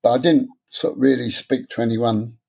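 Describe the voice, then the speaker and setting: A man, talking candidly in an interview, halting and repeating words as he speaks. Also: medium pitch, steady tone, gravelly, darkish tone, slightly rough. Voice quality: stammer. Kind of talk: personal interview.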